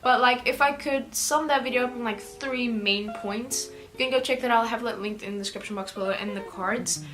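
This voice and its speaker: South african teen girl vlogging, kinda high voice, rambly and chatty, music playing behind. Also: a little fast. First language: Afrikaans. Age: teens. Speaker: YouTuber. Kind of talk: casual Q&A vlog.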